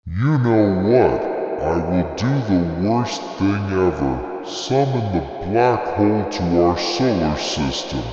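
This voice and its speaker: A man playing a godlike villain bent on destroying the world, speaking in a slow, grandiose, menacing way through heavy echo. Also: sits low, lively intonation, warm tone, a little hiss or hum. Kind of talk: scripted villain monologue. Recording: muffled, long echoing reverb.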